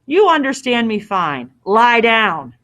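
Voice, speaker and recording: A man's voice: high-pitched, lively intonation, measured pace, bright, forceful tone, exaggerated voice. A woman voicing a big, brutish male innkeeper who is blunt, bossy and smugly menacing in a storybook. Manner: blunt, bossy, matter-of-fact.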